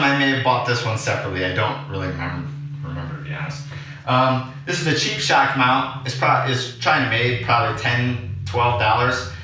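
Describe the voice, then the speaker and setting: A man's voice: mid-range voice, bright, forceful tone. A man talking casually and unscripted, explaining his equipment as a vlog host. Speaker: audio drama maker presenting his equipment. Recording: very echoey room, distant from mic.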